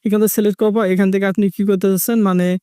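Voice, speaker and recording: A man's voice: high-pitched, steady tone, racing, dark tone, clean tone, no room sound, studio quality. A man narrating a step-by-step tech tutorial, repeating his instructions as he goes. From Bangladesh. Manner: repetitive instructional phrasing.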